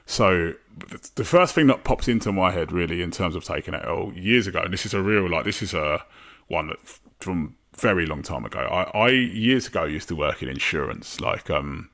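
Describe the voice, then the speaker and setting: Low-voiced man, chatty and rambling. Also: animated, normal speed, a bit bright. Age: 40s. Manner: chatty, rambling, many fillers and restarts, self-deprecating. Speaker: podcast guest. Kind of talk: casual interview chat.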